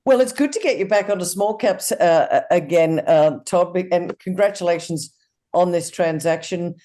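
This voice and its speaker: A man's voice: high voice, moderate pace, dark tone. A man hosting a business interview, losing his voice, with an upbeat, promotional delivery. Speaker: interview host.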